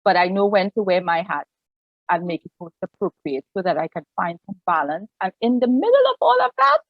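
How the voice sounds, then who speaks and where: A woman's voice: medium pitch, sing-song, a little fast, no room sound, dull audio. A woman in her forties with a Trinidadian accent, a pastor and counselor telling her personal story earnestly on a video call, often restarting her sentences. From Trinidad and Tobago. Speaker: guest, pastor and family life counselor. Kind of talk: personal story in an online interview.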